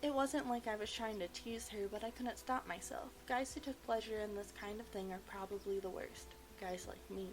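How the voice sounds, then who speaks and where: A woman reading a visual novel aloud as expressive narration. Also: medium pitch, moderate pace, few pauses. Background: game music.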